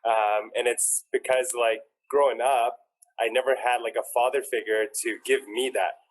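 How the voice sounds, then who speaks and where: A man's voice: mid-range voice, fairly even intonation, normal speed, low-quality recording. A young man, a personal trainer, telling a group why he does his work, earnestly and with lots of "like" fillers. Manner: earnest, filler-heavy, frequent "like". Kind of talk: heartfelt personal talk to a group.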